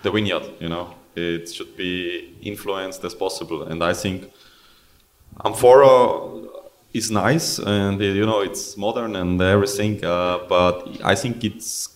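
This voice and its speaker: A man speaking as a winemaker on a live panel, halting and repeating himself as he looks for words. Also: sits low, steady tone.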